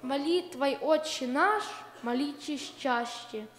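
Young woman, high-pitched reciter, long hall reverb, music behind. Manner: slow, measured recitation. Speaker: poetry reciter. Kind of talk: recited devotional poem. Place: large church hall. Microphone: handheld mic.

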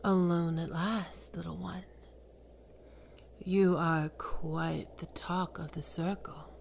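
A woman voicing a seductive, imperious female demon queen, speaking slowly in sultry whispers. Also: on the low side, vocal fry, slight background noise. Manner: slow, sultry, domineering, often whispering. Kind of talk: ASMR roleplay audio drama.

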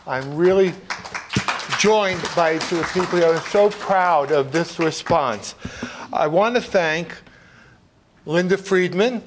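A man's voice: fairly high pitch, slow. An older man giving closing thanks to an audience from a lectern microphone in a noisy hall. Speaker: program closer giving thanks. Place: auditorium lectern.

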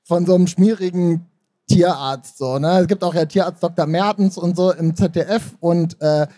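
A man's voice: sits high, fairly even intonation, moderate pace, warm tone. A man answering casually as an interview guest, in a hedging, loosely rambling way. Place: stage at a book fair. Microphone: handheld microphone.

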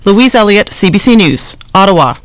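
Woman, Canadian accent, radio reporter, scripted delivery, medium pitch, dry recording. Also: big pitch swings, moderate pace, dry recording.

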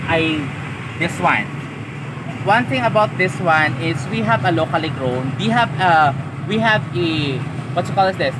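A young man giving a casual garden tour in halting English, full of fillers, eager about his plants, recorded outdoors by a busy road. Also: on the high side. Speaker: plant garden staff guide. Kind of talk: casual guided tour explanation. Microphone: handheld camera close by. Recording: outdoor camera audio, loud road noise. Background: loud traffic outside.

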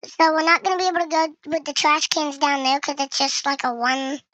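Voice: high-pitched, steady tone, a little fast, sharp tone, slight background noise. A young gamer giving casual gameplay commentary, with the voice run through a voice changer. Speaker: gaming video creator.

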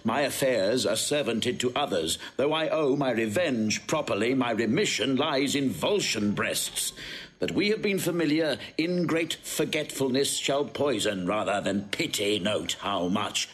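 Proud roman warlord, shakespeare audio play, slow and grave, big swooping delivery. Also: male voice, mid-range voice, lively intonation, fairly bright tone. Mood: stern, conflicted. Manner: declamatory, grave, impassioned. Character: proud, vengeful Roman general torn by family love. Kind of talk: classical Shakespearean audio drama acting. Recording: faint background noise.

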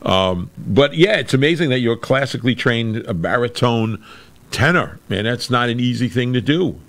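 A middle-aged man with a very deep voice, chatting casually as a podcast host over a video call. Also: animated, normal speed. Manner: chatty, with restarts and laughs. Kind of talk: casual interview chat. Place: room with a brick wall.

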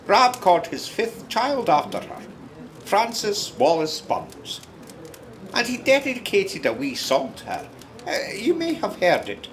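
An actor playing a wistful, gossipy Scottish narrator in a radio drama, musing conversationally with hesitant asides. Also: male voice, high voice, wildly swooping pitch, slow pace, heavily acted. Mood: confiding. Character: wistful, gossipy male Scottish storyteller, fond of his friend.

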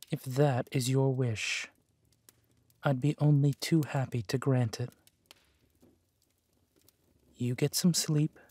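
An actor playing a protective villainous duke, tender and soothing, in an intimate audio roleplay. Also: male voice, medium pitch, dry recording. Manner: gentle, soothing, unhurried.

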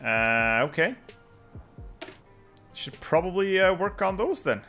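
A man's voice: high-pitched, very animated, takes it slowly, pushed and bright. A young man from Flanders whose first language is Dutch, giving casual, offhand commentary while playing a strategy game and chatting with viewers. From Flanders, Belgium. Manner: muttering to himself while playing, dry and offhand. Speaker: game streamer. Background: faint background music.

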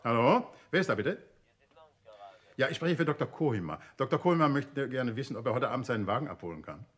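Husky, composed gentleman detective. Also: medium pitch, expressive, fast. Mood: surprised, polite. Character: composed, courteous male amateur detective, probing and urbane. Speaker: actor in a detective radio drama. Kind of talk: vintage German radio play acting, conversational.

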